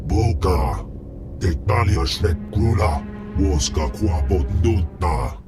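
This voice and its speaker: A man's voice: sits low, slow pace. A man voicing a huge, arrogant alien slug crime lord who speaks pompously in a guttural alien tongue for a video game. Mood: indignant. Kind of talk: video game character acting. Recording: loud music behind the voice.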